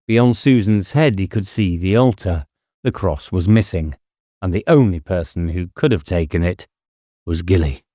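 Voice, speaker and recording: A man's voice: deep voice, mellow, no room sound, clean studio sound. A man reading a novel aloud as an audiobook narrator, in a scripted dramatic style.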